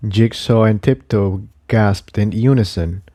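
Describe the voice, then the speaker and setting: A man's voice: on the low side, measured pace, warm tone. A man narrating an adventure story from a script. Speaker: narrator reading fan fiction.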